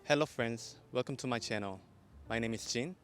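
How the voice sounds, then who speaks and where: A man's voice: mid-range voice, normal speed, faint music behind. A man hosting a street interview channel, introducing and asking questions casually outdoors. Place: outdoors on a university campus.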